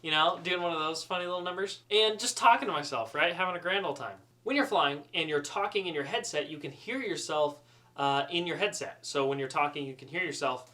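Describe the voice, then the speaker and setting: Man, slightly high bright voice, chatty and self-deprecating, casual comic storyteller. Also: fairly quick. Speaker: student pilot storyteller.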